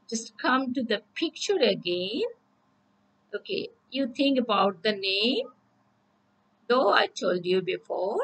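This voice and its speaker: A woman's voice: on the high side, low-quality recording. A woman teacher, giving a recorded English lesson and often checking in with "okay?". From Bangladesh. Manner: slow, repeats "okay?" to check understanding.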